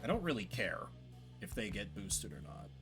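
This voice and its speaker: Lively man streaming a story game. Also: lowish voice, normal speed, over music. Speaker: streamer playing and solo-voicing a story game. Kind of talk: casual game commentary, thinking aloud; storybook-like scripted narration read aloud.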